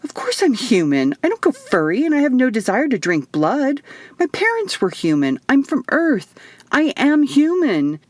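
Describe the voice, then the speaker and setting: A woman's voice: high voice, wildly swooping pitch, normal speed, no room sound, pitched above the performer's own voice, full character voice. A woman voicing a sarcastic, skeptical young woman in an audiobook, blunt and exasperated. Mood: indignant.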